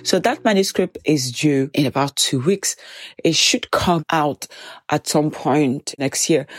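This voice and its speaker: Slow, stuffy-voiced woman podcast host. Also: deep voice, dry recording, clean studio sound. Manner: slow, measured, thoughtful delivery. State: stuffy with a cold. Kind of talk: reflective solo podcast talk.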